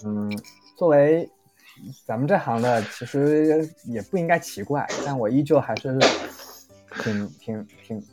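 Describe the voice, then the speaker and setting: A man in a casual group chat as a voice actor guest, speaking in hesitant, halting phrases. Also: medium pitch, expressive, over loud music.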